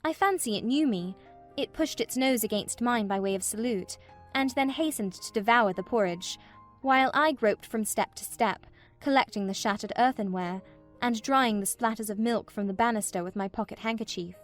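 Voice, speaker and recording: A woman playing an unhappy young gentlewoman, recounting her troubles in measured literary narration for a dramatized audiobook. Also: a little high, faint music behind, close to an everyday voice. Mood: rueful recounting. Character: vexed, wretched young gentlewoman recounting in a letter.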